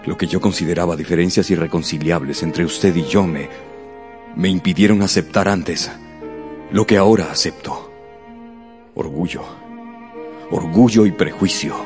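A man acts out a reserved, proud gentleman in a radio romance. His voice is very deep, and his speech is formal, awkward and halting. Faint music plays behind him. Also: natural voice. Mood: tormented, ardent. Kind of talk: radio drama acting.

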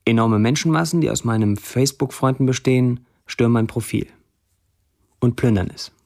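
An actor playing a sardonic Syrian blacksmith who writes Facebook posts, delivered as a literary monologue in a radio play. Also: male voice, mid-range voice, normal speed, creaky, a little mellow, natural voice. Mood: wry.

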